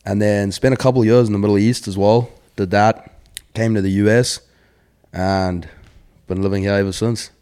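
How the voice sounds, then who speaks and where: South african guy, bit of a us twang, lowish voice, swears a lot, rambly chat. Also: steady tone, moderate pace, a little mellow, clear, resonant tone. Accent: South African with American twang. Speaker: guest. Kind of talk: casual chat.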